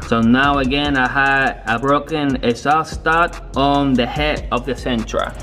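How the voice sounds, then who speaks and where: A man's voice: medium pitch, fairly even intonation, bright, forceful tone, faint music behind. Casual young man in a garage. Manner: casual, halting, with fillers and restarts. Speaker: car builder. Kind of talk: casual workshop vlog. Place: garage workshop, indoors.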